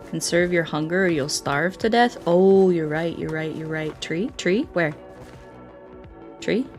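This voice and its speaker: Low-pitched, playful woman streamer. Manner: playful, scatterbrained, repeats words and sing-songs nonsense. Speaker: video game streamer. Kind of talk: casual live game commentary, chatting with viewers.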